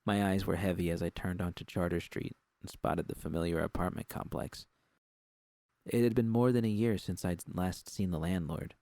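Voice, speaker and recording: A man's voice: deep voice, vocal fry, a little mellow, no room sound, studio quality. A man reading a first-person story as an audiobook narrator, in a scripted literary style.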